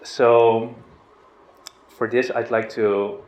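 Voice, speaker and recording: A middle-aged man from the Netherlands giving an informal spiritual talk, relaxed and hesitant, with restarts and soft laughs. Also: mid-range voice, drawn-out words. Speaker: spiritual teacher. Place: outdoors on a river boat. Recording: roomy, dull lo-fi sound with faint noise.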